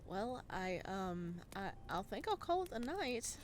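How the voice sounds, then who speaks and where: Woman voicing a shy, halting young man. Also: medium pitch, very animated, slight background noise. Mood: quietly awkward. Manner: halting, nervous hesitations. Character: shy, soft-spoken, awkward young man in a cabin. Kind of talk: visual novel character voice.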